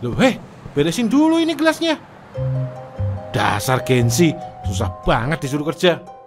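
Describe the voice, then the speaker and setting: A man's voice: a little high, very animated, normal speed, over music. A man voicing an arrogant, stingy middle-aged husband who owns a food stall and bullies his workers. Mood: annoyed. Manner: blustering, indignant, shouting. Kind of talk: cartoon drama voice acting.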